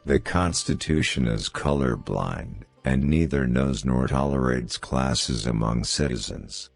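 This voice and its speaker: A man reads famous quotations as a scripted narration, in a measured, formal manner. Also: rumbling low, animated, vocal fry. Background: background music.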